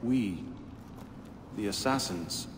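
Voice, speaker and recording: A modern assassin leader, controlled and quietly menacing. His knowing delivery carries long reverb, with clear noise in the background, as in a game cutscene. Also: fairly low pitch, slow. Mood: cool menace. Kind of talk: dramatic game cutscene acting.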